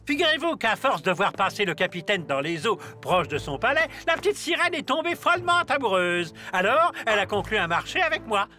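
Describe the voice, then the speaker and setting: Gloating old villain, wildly swooping voice. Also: male voice, high voice, sing-song, brisk, hardly any pauses, bright, forceful tone, soft background music, heavily acted. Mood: smug. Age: older. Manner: boastful, theatrical, gloating. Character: gloating, scheming old male submarine second-in-command villain. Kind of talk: French cartoon voice acting.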